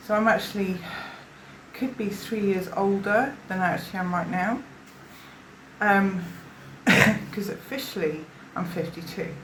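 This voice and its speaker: A woman's voice: medium pitch, a bit bright, slight background noise. A woman in her fifties from South Africa, giving personal testimony in a hesitant, rambling way, full of fillers and restarts. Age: 50s. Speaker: interviewee giving a witness statement. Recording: roomy echo.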